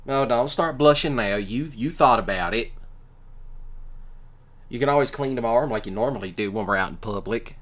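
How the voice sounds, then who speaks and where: A man improvising a casual boyfriend roleplay, talking in a folksy, halting way with drawn-out pauses. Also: mid-range voice, a bit bright. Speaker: ASMR roleplay performer.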